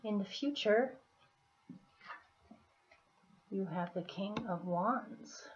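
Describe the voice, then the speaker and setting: A woman with a slightly hoarse, scratchy throat, giving a casual tarot reading in an unhurried, deliberate manner with pauses as she explains the cards. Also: medium pitch. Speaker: tarot reader. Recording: dull, muffled lo-fi audio.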